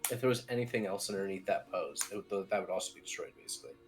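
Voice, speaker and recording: A man's voice: deep voice, very fast, faint music behind. A man giving an informal technical tutorial as team lead, explaining things in a loose, rambling way. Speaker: software team lead, instructor.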